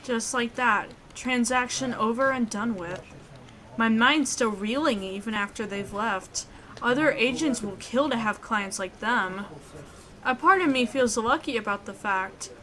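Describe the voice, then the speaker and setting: Female let's player, bright and forward voice, fairly high, expressive story reading with exclamations. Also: a little slow. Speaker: gaming YouTuber reading aloud. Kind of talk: visual novel let's play, dramatic reading with reactions.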